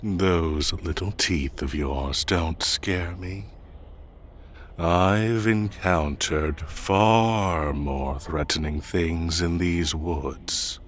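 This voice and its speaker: Man acting a deep, very slow, haughty fae king. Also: slight background noise. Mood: menacing, condescending. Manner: condescending, unhurried, theatrical drawl. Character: haughty fae forest king, teasing, possessive, menacing. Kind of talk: audio roleplay acting addressed to the listener.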